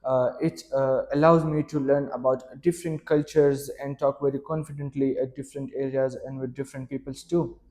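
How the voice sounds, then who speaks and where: Hesitant young man with mellow voice. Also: medium pitch, level tone, moderate pace, hardly any pauses. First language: Pashto. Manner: hesitant, many fillers and restarts. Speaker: test candidate. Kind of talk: mock speaking exam answers.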